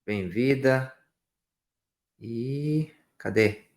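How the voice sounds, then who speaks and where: A man's voice: mid-range voice, drawn-out words. Man, Chilean accent, Spanish native speaker, live stream host, chatty and jokey, gropes for words. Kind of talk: casual live stream talk.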